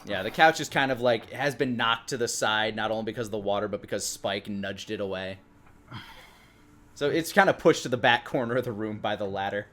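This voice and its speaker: A man improvising descriptive narration as the game master of a tabletop game, in a halting way. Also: fairly low pitch, fairly bright tone.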